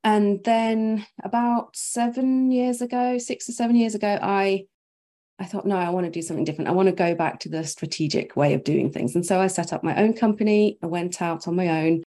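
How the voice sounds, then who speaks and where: A woman from Norway, a brand strategist, guesting on an interview over a video call, chatty and quick to laugh. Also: mid-range voice, steady tone, dark tone. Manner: chatty, laughs often, thinks aloud with fillers. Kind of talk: casual interview chat.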